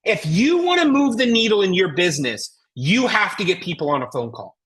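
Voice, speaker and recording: A woman's voice: mid-range voice, sing-song, a little fast, breathy edge. A woman with an American accent giving a motivational sales coaching talk as a trainer, emphatic and folksy, with rhetorical questions. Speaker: network marketing trainer.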